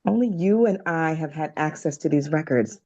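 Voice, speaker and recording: A woman playing a concerned, firm doctor in a drama table read, speaking in a measured, earnest way. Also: low voice, animated, warm tone, lo-fi sound. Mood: worried, resolute.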